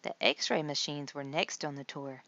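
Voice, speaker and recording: A woman's voice: low voice, steady tone, moderate pace, bright, forceful tone, highs cut off, a little hiss or hum. A woman narrating a children's storybook as a read-aloud. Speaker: storybook reader.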